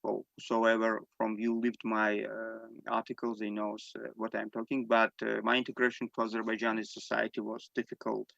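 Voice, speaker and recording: A man whose first language is Armenian, speaking English in halting testimony on a video call, with many fillers and restarts. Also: fairly low pitch, completely flat delivery, creaky, fairly bright tone, no room sound, highs cut off. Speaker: panel speaker giving testimony. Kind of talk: unscripted spoken testimony.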